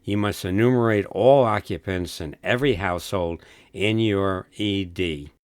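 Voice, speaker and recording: A man narrating a scripted instructional film in a measured, instructive manner. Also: deep voice, no room sound, studio quality.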